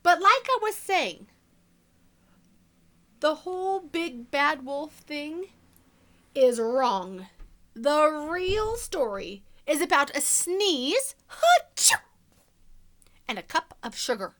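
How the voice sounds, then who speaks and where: Woman acting an animated, aggrieved storybook wolf. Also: high voice, full character voice. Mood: explaining, exasperated. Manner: exaggerated, drawn-out, emphatic storytelling. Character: aggrieved storybook wolf, male, pleading his innocence. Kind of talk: dramatic children's storybook read-aloud.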